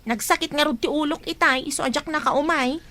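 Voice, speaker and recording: A woman's voice: high-pitched, brisk, sharp tone, a little hiss or hum. A woman playing a defiant, irritable young female student in an Ilocano radio drama. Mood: whiny, evasive. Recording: radio drama with music bed.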